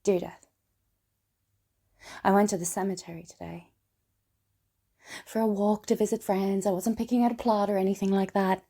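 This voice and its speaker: Actress as lonely wry woman, theatrical monologue, husky and breathy, creaky, self-deprecating, confessional. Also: medium pitch, steady tone, normal speed, pauses often, dark tone. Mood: tentatively hopeful. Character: lonely, wry, broken woman confiding in Death. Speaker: writer and performer of a one-woman play. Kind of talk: theatrical monologue, intimate letters read aloud to Death.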